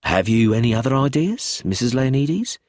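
A man voicing an abrupt, measured police chief inspector in an audiobook. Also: medium pitch, sing-song, darkish tone, no room sound, clean studio sound. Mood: calm. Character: calm, brisk male police chief inspector.

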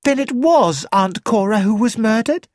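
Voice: medium pitch, animated, normal speed, a little mellow, no echo, pitched above the performer's own voice, natural voice. A narrator voicing a flighty, childish young actress who exclaims eagerly about money, read in a close, dry studio recording.

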